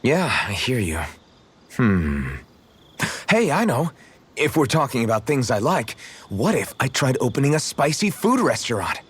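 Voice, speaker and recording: A man's voice: medium pitch, sing-song, unhurried, a bit bright, husky, full character voice. A man playing a modest, good-natured young swordsman with a casual, unpretentious way of talking, in video game dialogue. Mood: musing, friendly. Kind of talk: English-language video game character dialogue.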